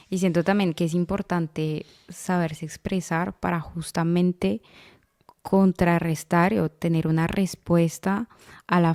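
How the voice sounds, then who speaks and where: A woman hosting a podcast interview. Her voice sits slightly low. She builds her questions slowly and thoughtfully, with fillers along the way. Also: gravelly, a little mellow. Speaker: podcast host.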